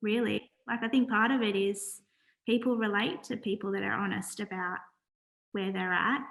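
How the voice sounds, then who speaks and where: A soft-spoken woman, a charity founder, talking earnestly in a casual interview with many pauses and fillers. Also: mid-range voice, steady tone, creaky, rough recording.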